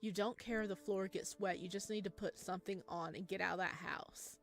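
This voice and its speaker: A woman's voice: medium pitch, steady tone, close to an everyday voice. A woman reading a visual novel as a solemn, suspenseful narrator of a creepy horror story. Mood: tense. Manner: slow, hushed, suspenseful. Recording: music behind the voice.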